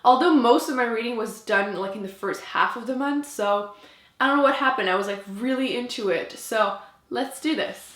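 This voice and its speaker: A woman's voice: fairly high pitch, normal speed, fairly bright tone, echoey room. A French Canadian woman, a native French speaker, chatting casually and emphatically to camera as a book reviewer.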